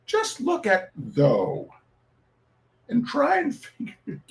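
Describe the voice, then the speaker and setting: A man with a high-set voice reads comic essays aloud. His intonation is very lively, rising and dropping widely. The delivery is theatrical and emphatic, yet casual, like someone sharing a favorite funny piece. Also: slow pace, vocal fry. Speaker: reader of humorous essays. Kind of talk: animated comic reading with casual asides.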